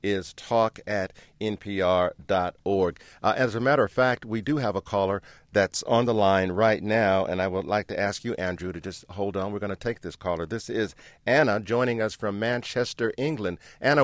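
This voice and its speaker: A low-pitched man hosts a radio call-in show, speaking close to a dry studio mic. He puts his questions in a measured, deliberate way. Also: dry recording. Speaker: radio talk show host. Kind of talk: public radio call-in interview. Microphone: studio microphone.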